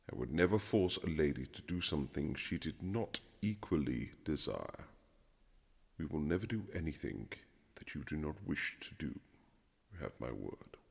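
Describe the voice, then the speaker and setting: A man playing a haughty, sardonic aristocratic husband in a romantic period audio drama, speaking in formal, measured, teasing phrases. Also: low voice, vocal fry, warm tone, heavily acted. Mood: reassuring, formal. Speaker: audio roleplay performer. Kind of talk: romantic period-drama ASMR roleplay, scripted.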